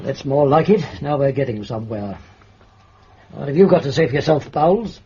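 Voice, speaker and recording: An actor playing a brisk, blunt police detective with an English accent in a radio drama, rough-voiced and commanding. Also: male voice, mid-range voice, expressive, dark tone, a little hiss or hum, close to an everyday voice. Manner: brusque, exclamatory, commanding. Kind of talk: British radio drama acting. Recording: dull, muffled radio recording.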